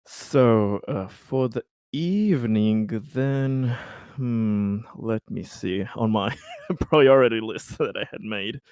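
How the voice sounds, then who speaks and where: A man playing a tabletop roleplaying game, talking casually in halting, tentative phrases as he describes his character's actions. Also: fairly low pitch, darkish tone, smooth, no room sound, studio quality.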